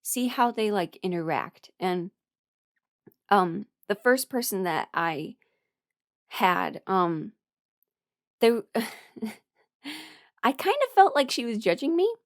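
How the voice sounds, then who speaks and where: A woman talking casually and confidingly, giving personal advice in an informal, halting way and often correcting herself. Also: mid-range voice, very animated, no room sound, studio quality. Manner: informal, halting and self-correcting, chuckles while talking, mimics others in dialogue. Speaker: host, voice performer giving a personal ramble.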